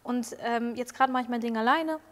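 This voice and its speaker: A woman's voice: a little high, normal speed, big-room sound. A young woman in her twenties, a singer as guest, chatting candidly in an interview with slangy words and many fillers, sitting on a sofa in an indoor lounge set. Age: 20s. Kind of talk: casual interview chat.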